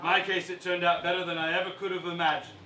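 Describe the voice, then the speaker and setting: A man's voice: high-pitched, little pitch movement, fast talker, sharp tone. A man acting in a stage play as a hearty, teasing young husband, jovial and projecting to the house. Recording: roomy theatre stage, distant mic.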